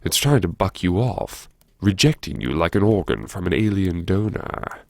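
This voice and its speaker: A man narrating an audiobook in the first person, dramatically, with a brooding, wry and deliberate delivery. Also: deep voice, big pitch swings, creaky, a little mellow, no echo.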